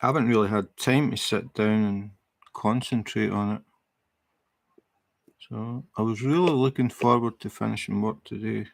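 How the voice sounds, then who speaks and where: A middle-aged Scottish man chatting casually on a livestream while he crafts, relaxed and rambling, with many fillers and restarts. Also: lowish voice, normal speed, vocal fry, no echo. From Scotland. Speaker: livestream host. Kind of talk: casual chat while crafting, reading viewer comments.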